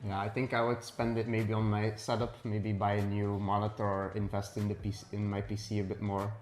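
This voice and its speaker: Young male player in his 20s, lowish mellow voice, relaxed interview answers. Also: fairly quick. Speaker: esports player.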